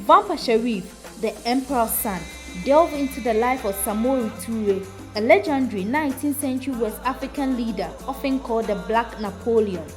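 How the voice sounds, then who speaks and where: Woman reporter, fairly high voice, scripted recap voice-over, soft music under it. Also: normal speed, hardly any pauses. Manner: polished, upbeat delivery. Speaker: reporter narrator. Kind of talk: scripted narration. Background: music bed.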